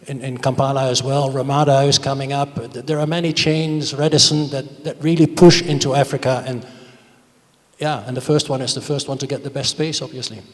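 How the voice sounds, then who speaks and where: A man's voice: medium pitch, flat delivery, fairly quick. A middle-aged man answering on a panel, explaining emphatically and repeating words as he goes. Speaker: panelist, hotel investor. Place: large indoor conference stage. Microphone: handheld microphone. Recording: reverberant conference hall sound.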